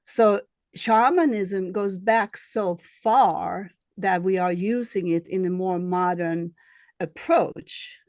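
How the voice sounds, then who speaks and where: A woman with a Swedish accent, a healer being interviewed, who speaks in a halting way and searches for her words. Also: medium pitch, a little mellow, no echo. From Sweden. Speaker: guest, shamanic healer and astrologer. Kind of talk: casual interview.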